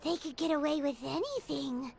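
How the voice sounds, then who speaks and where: Very high-pitched tiny companion girl, lively and nosy, game audio, noisy background. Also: creaky. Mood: alarmed. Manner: chatty, quick to question and exclaim. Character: tiny female floating companion, excitable and nosy. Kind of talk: video game voice acting.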